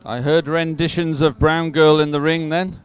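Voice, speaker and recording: A man giving live sports commentary at a regatta in an informal, halting way with hesitant pauses. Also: a little high, level tone, clean tone.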